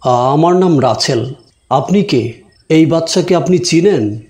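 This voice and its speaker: An actor playing a devout, principled village teacher in a cartoon moral story, speaking earnestly and solemnly. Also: male voice, a little high, animated, slow, a little mellow. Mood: concerned, questioning.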